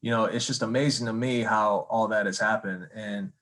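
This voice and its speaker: A man in his thirties from Michigan hosts a podcast and tells stories in a friendly, animated way, saying "like" and "bro". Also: fairly low pitch, moderate pace, poor audio. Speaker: podcast host. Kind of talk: casual interview conversation.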